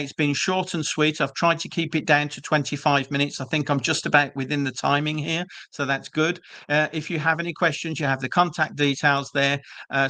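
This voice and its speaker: A man's voice: a little high, pushed and bright, no echo. A British man presenting an online webinar for a business school, chatty and reassuring with frequent ums and uhs, speaking over a video call. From Britain.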